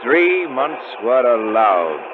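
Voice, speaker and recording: Wondering passenger, old noisy radio. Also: male voice, medium pitch, sing-song, takes it slowly. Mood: baffled reading aloud. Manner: colloquial, wondering. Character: startled working-class British train passenger. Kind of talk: 1940s radio acting. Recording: muffled, noisy 1940s radio recording.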